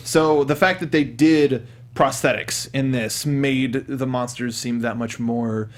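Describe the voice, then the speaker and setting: A man's voice: medium pitch, fairly even intonation, unhurried. A young man hosting a casual panel discussion in a studio, with some swearing. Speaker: talk show host. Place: small indoor podcast studio. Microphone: close desk microphone with headset monitoring.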